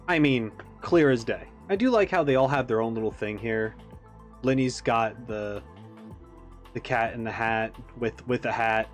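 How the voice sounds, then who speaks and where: A man hosting a reaction video, speaking casually in rambling, enthusiastic analysis. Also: medium pitch.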